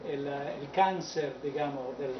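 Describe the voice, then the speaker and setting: A man's voice: on the high side, measured pace, far from the mic, over a noisy crowd. Hesitant man in the audience, echoey. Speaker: audience member. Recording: dull, roomy audio with clear noise.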